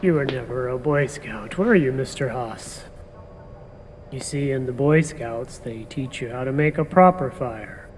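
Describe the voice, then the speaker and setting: A man's voice: medium pitch, a little slow, darkish tone, big-room sound, slight background noise, lightly acted. A man playing a curt, impatient detective. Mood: lecturing. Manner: curt, brushing things off. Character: impatient, dismissive male police detective.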